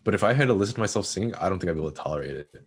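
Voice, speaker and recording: A man's voice: low-pitched, lively intonation, rapid-fire, breathy edge. A young man chatting casually on a podcast, hesitant, repeating words and saying "like" often. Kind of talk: casual chat.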